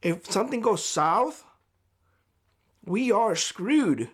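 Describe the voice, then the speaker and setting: A man speaking straight to camera in a vlog, giving blunt, lecturing advice in a hoarse voice. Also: high voice, big pitch swings, slow pace. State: hoarse, losing his voice. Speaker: prepper YouTuber. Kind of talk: direct-to-camera vlog monologue. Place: homestead.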